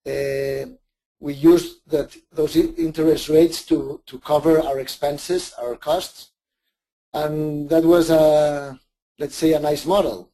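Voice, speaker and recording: A man's voice: a little high, fairly even intonation, slow pace, mellow. A man with a Spanish accent, speaking English as a second language, gives a webinar presentation with many restarts and self-corrections. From Spain.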